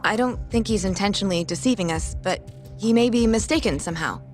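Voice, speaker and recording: A woman's voice: medium pitch, fast talker, soft background music, lightly acted. A woman playing an earnest, guarded young nurse in an English-dubbed game drama, speaking precisely and formally.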